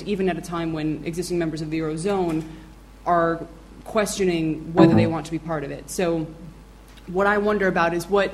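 A woman's voice: lowish voice, a little hiss or hum, rough recording. A woman speaking on a conference panel, thinking aloud with hedges and fillers. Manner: thoughtful, hedging with fillers. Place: conference hall with audience.

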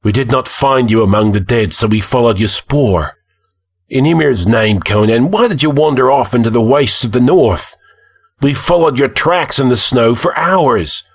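A man voicing a gruff, exasperated but loyal Norse warrior chieftain in a fantasy audiobook. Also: fairly low pitch, normal speed. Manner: grunting, gruff.

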